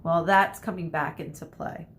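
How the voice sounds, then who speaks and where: A woman with a somewhat low voice reads a children's story aloud like a teacher. Her delivery is expressive and deliberate, with short explanatory asides. There is light noise and rumble on the recording.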